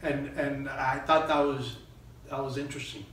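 A middle-aged man from Miami speaks as an interview guest in a halting, conversational way. The recording is very echoey and picked up from a distance, with faint noise. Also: medium pitch, fairly even intonation, fairly bright tone. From Miami, United States. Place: small indoor room.

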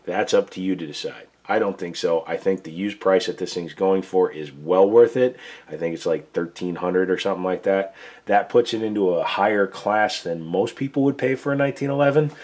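Hoarse male host, very low voice, plain-spoken workbench demo. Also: fairly quick, darkish tone. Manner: plain-spoken, step-by-step instructions. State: losing his voice, hoarse. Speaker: gun reviewer, show host. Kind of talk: casual tabletop demo. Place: indoor workbench.

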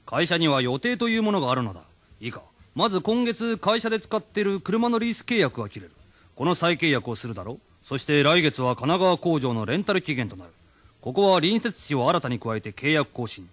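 A businesslike, gruff manager in a radio comedy. He talks fast and with authority, in a close, dry sound. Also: a little high, very animated, fairly bright tone, no room sound. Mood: firm, explaining. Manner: authoritative, explanatory. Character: gruff, patient male department manager, businesslike boss. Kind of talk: Japanese radio comedy drama acting.

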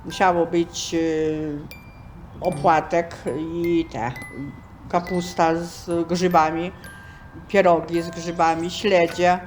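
Slow, low-voiced older woman reminiscing. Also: level tone, darkish tone. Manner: halting. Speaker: senior sharing memories. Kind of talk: casual spoken reminiscence. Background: faint music with chimes.

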